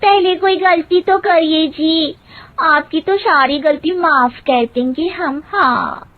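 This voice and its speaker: Woman playing a high-voiced naive village bride. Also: slow pace, no echo, phone-quality sound. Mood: innocent, matter-of-fact. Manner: literal-minded, guileless, rustic phrasing. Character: naive, cheerful young village daughter-in-law. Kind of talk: Hindi comic cartoon story dialogue.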